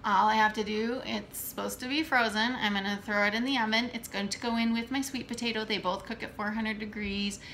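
A woman in her thirties casually showing her meal prep at home, talking in an unhurried way with many fillers. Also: medium pitch, fairly even intonation, a bit bright. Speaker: fitness coach vlogger. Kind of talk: casual how-to vlog. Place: home kitchen, indoors. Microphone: camera on the counter. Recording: echoey kitchen with room noise.

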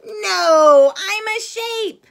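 Woman putting on a pushy, high-pitched show-off cartoon trapezoid. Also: expressive, extremely slow, gravelly, exaggerated voice. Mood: boastful. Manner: insistent, showy. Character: pushy, boastful, eager trapezoid shape wanting stardom.

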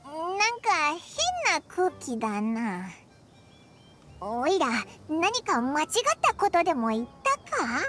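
A woman voicing a tiny childlike fairy companion in a game, squeaky and exclamatory, with rough casual speech. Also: wildly swooping pitch, slow pace, noisy background, heavily acted. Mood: puzzled, awkward. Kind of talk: animated game voice acting.

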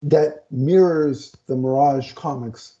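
A man's voice: medium pitch, slow pace, warm tone. A man co-hosting a casual comic review podcast from a home room, talking through a headset on a video call and often searching for words. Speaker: podcast co-host. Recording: dull lo-fi video call audio.

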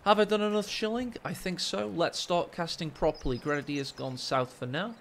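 A deep-voiced man gives lively, joking live commentary on a strategy game from a small room at home. His voice sits a little high for a man. Gunfire and battle sounds from the game run clearly beneath his words. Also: moderate pace, slight background noise. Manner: excitable, jokey, rising with the action. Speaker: game caster. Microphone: desk microphone. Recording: game sound effects under the voice. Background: game gunfire and ambience.

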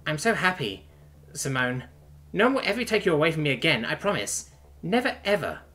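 A man putting on a chirpy, high-pitched alien princess. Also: sing-song, a little fast, bright, forceful tone. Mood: devoted, emotional. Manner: polite, earnest, formal wording. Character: small, sweet, nervous alien princess, polite and devoted.

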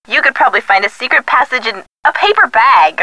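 Young female game sidekick, wry, teasing, quick-witted, bright lively voice, dry recording. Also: fairly high pitch, no echo, low-quality recording. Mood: wry, curious. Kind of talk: video game voice acting, phone dialogue.

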